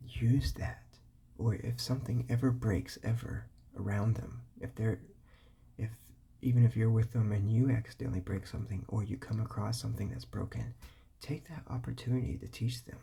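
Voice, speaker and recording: A man whispering calm, patient parenting advice, repeating his points as he explains. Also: fairly low pitch, normal speed. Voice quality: whispery, breathy, gravelly.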